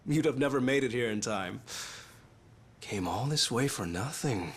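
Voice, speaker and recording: An actor playing a sadistic, mocking gangster villain in a video game cutscene, theatrical and falsely apologetic. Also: male voice, medium pitch, lively intonation, normal speed, slightly rough. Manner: taunting, mock-apologetic, swinging from murmurs to exclamations. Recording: music under the voice.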